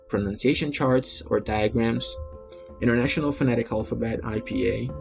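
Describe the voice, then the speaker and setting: Man reading an article over soft music. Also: medium pitch. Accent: Brazilian Portuguese. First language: Portuguese. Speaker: language learning video host. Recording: faint music behind.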